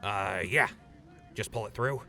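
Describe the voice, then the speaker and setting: A man playing a gruff but awkward, apologetic old buddy in an audio drama, gravelly and hesitant. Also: fairly low pitch, a little slow, bright, forceful tone, a little hiss or hum, heavily acted. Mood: nervous, hesitant.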